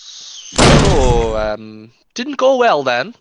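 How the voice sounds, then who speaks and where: A man's voice: mid-range voice, huge pitch swings, extremely slow, noisy background, heavily acted. A man playing an easygoing, awkward bartender who talks casually and hesitantly in a radio comedy, on a dry, dull recording. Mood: cautious. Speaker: actor in a comedy radio drama. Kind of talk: naturalistic radio comedy-drama acting.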